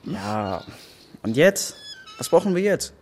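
A man's voice: fairly high pitch, big pitch swings, slow, darkish tone, faint music behind. A young man playing a persistent, slightly sulky teenage son in a naturalistic radio play. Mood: insistent. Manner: casual, questioning, youthful.

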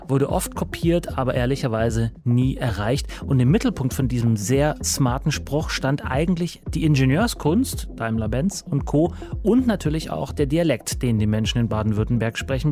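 A man hosting a radio talk show, asking questions in a relaxed, conversational way. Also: medium pitch, normal speed, over music. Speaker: radio host. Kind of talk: radio interview.

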